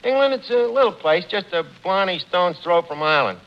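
A man's voice: high-pitched, animated, a little fast. An actor playing a wisecracking, slangy tavern manager who mangles his words in a New York accent, in 1940s radio comedy style. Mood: breezy, wry. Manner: slangy, wisecracking, full of malapropisms. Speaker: tavern manager, lead comic. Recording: dull, noisy 1940s radio recording.

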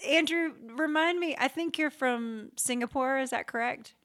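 A woman's voice: high voice, normal speed, a bit bright. A woman hosting an interview podcast, gushing and friendly as she greets and questions her guest. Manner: gushing, friendly, laughs often. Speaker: podcast host.

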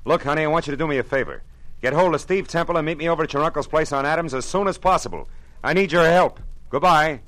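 An American actor playing a tough, wisecracking private detective in a 1940s radio noir, slangy and sardonic. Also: male voice, fairly high pitch, fast talker, a bit bright, close to an everyday voice. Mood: urgent.

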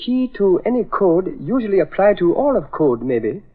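A phone-like vintage radio recording of a devoted, sharp-witted sidekick. His voice is high and swoops in pitch. He speaks deferential broken English, in old radio serial style. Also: very animated, moderate pace. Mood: thoughtful, deferential. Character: loyal, clever Filipino valet and sidekick. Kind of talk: 1940s radio drama acting.

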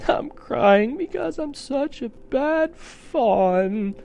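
A man acts out a weepy storybook fawn, high-voiced and swooping with misery. He wails and confesses, full of gloom and self-reproach. There is clear background noise. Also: lively intonation, unhurried, darkish tone. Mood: sobbing self-reproach. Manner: sobbing, wailing, confessional. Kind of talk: dramatic storybook character reading.

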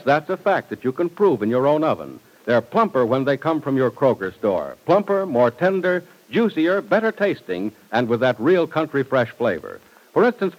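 A man's voice: mid-range voice, lo-fi sound. A male announcer reading 1940s radio copy in a polished, emphatic selling manner on an old broadcast recording.

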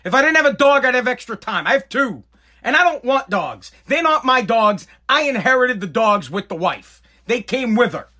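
A man rants on his own live podcast, blunt and profane, repeating himself as he goes. His voice sits high for a man. It has a bright, forceful edge. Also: animated, normal speed. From Boston area, New England. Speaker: podcast host. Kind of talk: live-streamed podcast rant.

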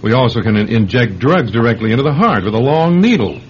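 An actor playing an alarmed, principled doctor in an old-time radio drama, stammering and admonishing in disbelief. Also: male voice, medium pitch, lively intonation, fast, natural voice. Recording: dull lo-fi radio recording with hiss.

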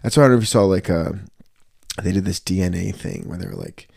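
A young man with a fairly low voice chats easily as a podcast co-host, recorded close and dry with no room sound. He tells stories with energy and drops into little voices whenever he quotes someone. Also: quick pace, vocal fry, husky, dry recording. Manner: animated storyteller, acts out quotes in voices. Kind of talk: casual chat.

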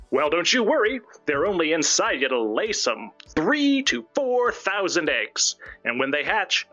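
A man's voice: fairly high pitch, pushed and bright, exaggerated voice, low-quality recording. A man playing a gleefully mean morning-show radio host, mock-cheerful and showy.

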